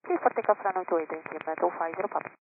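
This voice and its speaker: A man who is a pilot, reading instructions back over a radio transmission, slightly halting at his call sign. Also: sits high, phone-quality sound. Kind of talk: radio readback to air traffic control. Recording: thin, crackly cockpit radio link.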